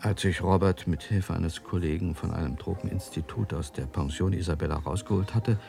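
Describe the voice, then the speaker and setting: An actor in a radio drama playing a thoughtful, composed doctor who explains things in a measured, reflective way. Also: male voice, low-pitched, vocal fry, mellow, soft background music. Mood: sober, explanatory.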